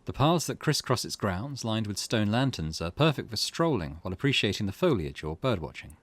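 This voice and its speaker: Low-voiced man with lively pitch. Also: fairly quick, breathy edge, dry recording. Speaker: gaming video host. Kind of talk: let's play narration, reading game text aloud.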